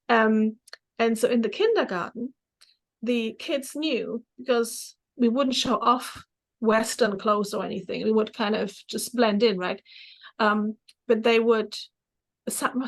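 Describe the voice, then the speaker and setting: Higher-voiced middle-aged woman. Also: unhurried, low-quality recording. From Germany, born in Poland. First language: German. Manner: animated, acts out remembered dialogue. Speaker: interview guest, therapist. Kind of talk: casual personal storytelling.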